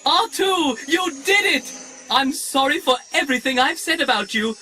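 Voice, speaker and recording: An actor playing a fussy, chattering protocol droid with a prim, fretful, effusively polite manner in an audio drama. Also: male voice, extremely high pitch, lively intonation, moderate pace, sharp tone, soft background music, heavily acted, poor audio. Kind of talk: 1990s audio drama acting.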